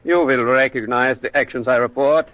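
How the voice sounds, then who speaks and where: An actor playing a defiant, boastful captured German U-boat officer with a German accent, smug and evasive, halting over his words. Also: medium pitch, dry recording, highs cut off, natural voice. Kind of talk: 1940s radio acting.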